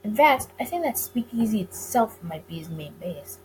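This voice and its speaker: A woman's voice: medium pitch, very animated, moderate pace, a little mellow, close to an everyday voice, low-quality recording. A sardonic 1920s detective read by a woman from a visual novel game. He talks casually and teasingly to his boss. Noise sits behind the voice. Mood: earnest. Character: male 1920s police detective, sardonic and loyal. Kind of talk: visual novel character reading. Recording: clear background noise.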